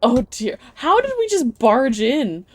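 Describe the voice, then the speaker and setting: A woman with a husky voice reacting casually and dotingly to a game as she streams it. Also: sits high, very animated, a little slow, no echo.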